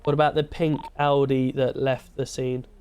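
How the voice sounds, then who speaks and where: A British man voicing a police officer in an online roleplay, brisk and in charge. He asks short questions and gives orders. A faint noise sits behind his headset mic. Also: medium pitch, little pitch movement, darkish tone. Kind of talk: roleplay radio talk.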